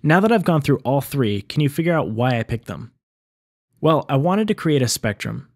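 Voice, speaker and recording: A man's voice: mid-range voice, big pitch swings, moderate pace, dry recording. Man narrating, very dry close sound. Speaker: video essay host on game sound design. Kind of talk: scripted explainer narration.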